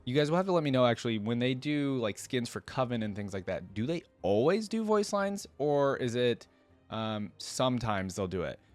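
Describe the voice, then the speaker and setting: A man's voice: mid-range voice, expressive, moderate pace, faint music behind. A man giving casual, unscripted reaction commentary as a video host, enthusiastic and rambling with informal, slangy wording.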